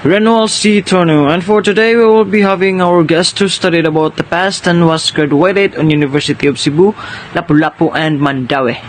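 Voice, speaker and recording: A man's voice: a little high, slight background noise. Upbeat young male mock-radio DJ. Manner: upbeat announcer tone. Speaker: radio DJ host. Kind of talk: scripted mock radio hosting.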